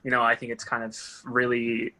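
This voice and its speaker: Bright-voiced man giving casual feedback. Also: mid-range voice, monotone, fairly quick, rough recording. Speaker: table read participant giving feedback. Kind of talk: casual discussion.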